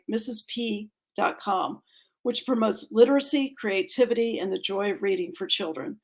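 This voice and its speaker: A woman presenter with a fairly high voice gives a prepared introduction. She reads biographical notes on guest speakers in a formal event setting. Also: low-quality recording. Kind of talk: prepared introduction, partly read aloud.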